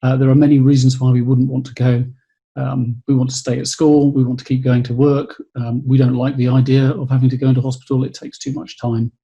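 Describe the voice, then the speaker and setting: A man with a gravelly, husky voice and a mellow, darker tone. He explains his company's work in a measured way, pausing often and repeating words. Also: mid-range voice, little pitch movement. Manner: measured, with frequent hesitations and repeats. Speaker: company executive. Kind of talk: webcast pitch.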